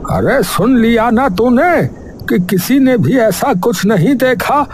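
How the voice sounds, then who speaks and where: A man's voice: very high-pitched, expressive, takes it slowly, a little hiss or hum, full character voice. A man playing an evasive, blustering village headman in a Hindi cartoon story, hemming and stalling as he talks. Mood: defensive, insistent. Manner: hemming and hesitant, with stalling filler sounds. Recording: music under the voice.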